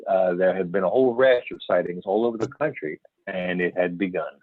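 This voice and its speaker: A man acts out a veteran male astronomer turned UFO investigator in a readers theater piece. His voice is low, and he reminisces in a folksy, candid, storytelling way. The sound is narrow and phone-like, as over a conference call, with little room around it. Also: fairly quick, no echo. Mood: candid, wry. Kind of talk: amateur readers theater, scripted interview reminiscence. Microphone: phone or videoconference call. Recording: band-limited phone-like conference call audio.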